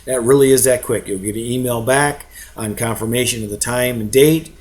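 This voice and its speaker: A man's voice: medium pitch, normal speed, a little mellow. Conversational man explaining to prospective investors. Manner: conversational, frequent rhetorical check-ins. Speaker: real estate investment presenter.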